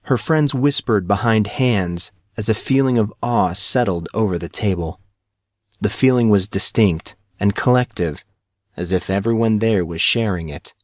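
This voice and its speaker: Low-pitched male story narrator, dry sound. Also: a little slow, no echo. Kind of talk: scripted fiction narration.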